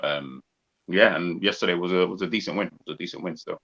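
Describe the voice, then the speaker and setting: A man with a very deep, husky voice, talking in a laid-back, slangy way. His sound is dull and lo-fi, as if coming in over a remote call. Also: quick pace, fairly bright tone. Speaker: guest. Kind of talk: casual chat.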